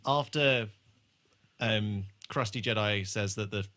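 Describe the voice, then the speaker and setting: A man's voice: lowish voice, slow pace, pushed and bright, a little hiss or hum. A man co-hosting a podcast, chatting casually and informally about a TV show, with some swearing. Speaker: podcast host. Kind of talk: casual chat reviewing a TV episode.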